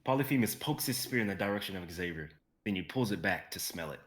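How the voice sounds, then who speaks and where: A man's voice: low voice, expressive, fast talker. A young man narrating a script in a dramatic reading style. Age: young adult. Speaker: narrator and reader.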